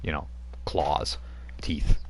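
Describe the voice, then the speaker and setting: A man running a tabletop game as game master, explaining rules and lore in a relaxed, rambling way. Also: takes it slowly, slight background noise. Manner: explanatory, with frequent fillers and restarts.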